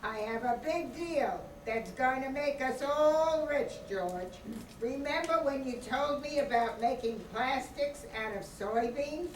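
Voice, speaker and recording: A man's voice: extremely high pitch, slow pace. A man playing a brash, teasing wealthy old pal in an amateur old-time radio play. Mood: breezy, teasing. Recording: echoey distant room, faint noise.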